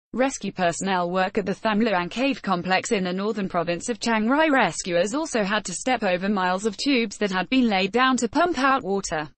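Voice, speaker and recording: Female news voice-over, steady read, dry close recording. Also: mid-range voice, dry recording. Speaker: news reader.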